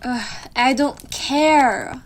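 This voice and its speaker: A woman's voice: high voice, drawn-out words, a little hiss or hum, exaggerated voice, low-quality recording. A woman voicing a needy, bossy, playful wolf girlfriend in an intimate roleplay audio, pouty and teasing as she speaks straight to the listener. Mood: exasperated, pouty. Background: computer keyboard typing.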